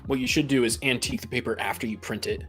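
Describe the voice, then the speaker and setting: A man's voice: mid-range voice, steady tone, quick pace, a bit bright, soft background music. A man co-hosting a casual group podcast chat, explaining and giving advice with dry teasing. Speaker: podcast co-host.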